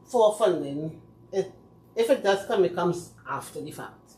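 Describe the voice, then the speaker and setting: Lower-voiced Trinidadian woman. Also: animated, unhurried, warm tone. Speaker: community group representative. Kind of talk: casual chat. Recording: echoey room, faint background noise.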